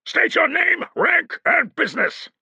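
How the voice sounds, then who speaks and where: Contemptuous Praetorian guard, put on by a male narrator, high and tense. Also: moderate pace, pushed and bright, breathy edge, no room sound, muffled recording, heavily acted. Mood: smug. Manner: smug, contemptuous.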